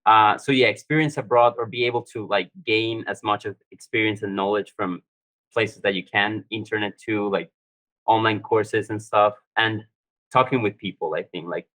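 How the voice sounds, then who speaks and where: Low-voiced man on a panel. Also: normal speed, rough recording. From Colombia. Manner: relaxed, uses many "like" fillers. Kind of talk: casual panel talk.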